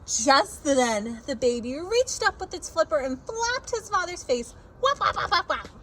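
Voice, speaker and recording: Woman, high-pitched, very lively children's read-aloud, exaggerated words and sound effects, slight background noise. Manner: pauses to ask listeners questions. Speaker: teacher reading aloud to children. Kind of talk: animated children's picture-book read-aloud.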